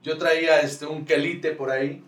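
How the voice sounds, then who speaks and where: A man's voice: on the high side, fairly even intonation, measured pace, fairly bright tone. A Mexican man hosting a casual comedic podcast, rambling and joking in slangy speech as he tells stories. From northern coastal Mexico. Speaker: podcast host. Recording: roomy echo, distant mic, music behind.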